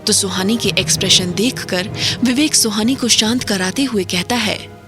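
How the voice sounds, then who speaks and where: A woman giving steady scripted narration for an audio drama. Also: medium pitch, normal speed, soft background music.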